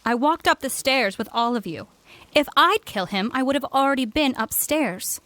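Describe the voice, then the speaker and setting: A woman's voice: high voice, animated, moderate pace, sharp tone, dry recording. A woman playing a composed, polite, slightly prim young lady of the house in an audio drama. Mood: defensive. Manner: polite, controlled, a little prim.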